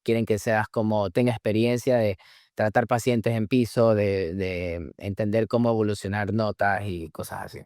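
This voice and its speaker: A man, a medical resident whose first language is Spanish, answering interview questions in a relaxed explanatory way with many restarts and fillers. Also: lowish voice, moderate pace, dry recording, clean studio sound. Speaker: guest, surgery resident in the US.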